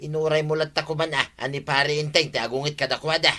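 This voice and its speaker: An actor playing a mild but chiding husband in a village radio comedy drama, speaking with an Ilocano accent. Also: male voice, a little high, steady tone, quick pace, bright, forceful tone.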